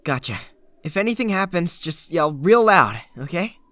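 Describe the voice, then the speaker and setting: A man voicing a protective teenage older brother in a video game fan dub, gentle and a little awkward. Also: fairly high pitch, very animated, normal speed, dry recording. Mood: caring, awkward. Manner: gentle, reassuring, slightly awkward.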